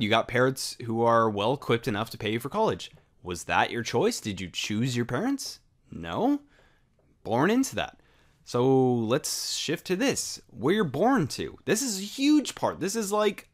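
Rambling young man with lively pitch. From United States. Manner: rambling, full of fillers and rhetorical questions, swears casually. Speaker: podcast host. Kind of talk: unscripted solo monologue.

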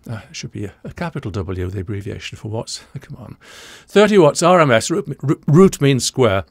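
A British man in his sixties presenting an explanatory audio commentary, deliberate and didactic, repeating key points, close to the microphone in a small studio. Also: mid-range voice, expressive, no room sound. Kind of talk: explanatory reaction commentary to camera. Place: small indoor studio room, dry.

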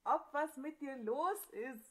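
High voiced sister, casual, kids audio drama. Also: female voice, slow pace, fairly bright tone. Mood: teasing, sleepy. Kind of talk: German children's audio drama acting.

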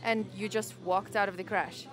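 A woman's voice: medium pitch, steady tone, moderate pace, music in the background, lightly acted. A woman playing a friendly, curious young guesthouse owner in a video game, casual and inquisitive. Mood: amused, friendly. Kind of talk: video game cutscene acting.

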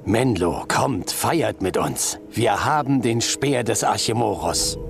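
Low-voiced composed master monk. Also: male voice, animated, slow, gravelly, music in the background, exaggerated voice. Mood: resolute. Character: wise male master monk, composed and resolute. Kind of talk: fantasy game voice acting.

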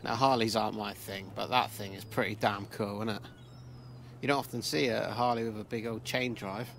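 A man's voice: lowish voice, steady tone, fairly quick, vocal fry, a bit bright, a little hiss or hum. A man in his thirties with an English accent, chatting casually to camera as he walks a town on a motorbike trip, joking and pointing things out. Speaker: motovlogger. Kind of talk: casual travel vlog chat. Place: outdoors in town streets.

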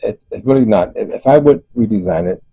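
A man giving an unscripted explanation as host of a DIY video, speaking haltingly with frequent pauses. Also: medium pitch, lively intonation, gravelly, breathy edge. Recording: muffled, roomy voice-over recording.

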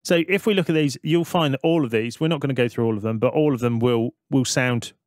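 Guy doing a music software tutorial, mid pitched voice, explains stuff step by step, very dry close sound. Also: fast talker, no room sound. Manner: explanatory, thinking aloud. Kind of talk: screen-recorded software tutorial narration.

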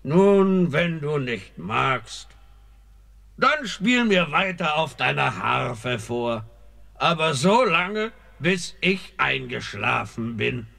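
A greedy old sea monster from a storybook radio play, slow and drawling, with a gravelly, rasping edge to his voice. His pitch leaps wildly and his tone is bright and forceful. He bursts into booming blasts of bluster. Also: very animated, heavily acted. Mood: charmed. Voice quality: gravelly, husky. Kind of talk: German fairy-tale radio play.

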